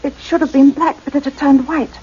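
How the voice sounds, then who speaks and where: An actress playing a frightened, dazed woman in a radio play, speaking in a halting, dreamy way. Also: high-pitched, fairly even intonation, warm tone, natural voice. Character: frightened, dazed woman recalling an eerie encounter. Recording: muffled, noisy radio play recording.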